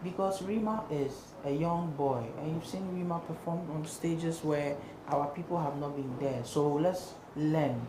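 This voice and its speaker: A young Ghanaian man talks with emphatic energy and keeps repeating himself to drive points home. His voice sits at an ordinary pitch and bounces around a roomy, echoing space. A clear hum of background noise runs under him. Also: measured pace, few pauses. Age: young adult. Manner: animated, repetitive, emphatic. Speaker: singer and guest. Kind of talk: interview conversation. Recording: echoey room with noticeable background noise.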